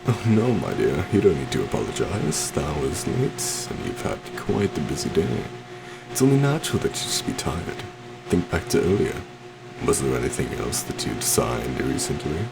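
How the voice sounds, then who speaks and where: A man's voice: extremely low pitch, wildly swooping pitch, normal speed, vocal fry, music in the background, heavily acted, rough recording. A man playing a suave, possessive stage magician in an ASMR roleplay, theatrical and courtly, coaxing sweetly with a menacing undertone. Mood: smug, coaxing. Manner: theatrical, courtly, soft coaxing with terms of endearment.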